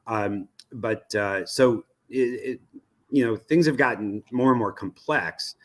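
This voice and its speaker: An American man speaking as an interview guest, giving conversational expert commentary in a measured way, often halting to search for the right word. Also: mid-range voice. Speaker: interview guest, radio research executive.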